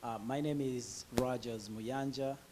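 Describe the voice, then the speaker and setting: A man's voice: mid-range voice, fairly even intonation, a little slow, background noise. A man with a Ugandan accent telling his personal story in a hesitant way, full of filler sounds and restarts. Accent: Ugandan English. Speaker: guest speaker.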